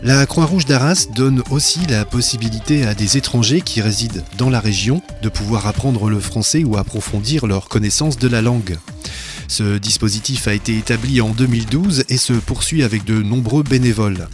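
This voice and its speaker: A man presenting a report in smooth, scripted narration. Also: mid-range voice, normal speed, faint music behind. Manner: smooth, read announcement.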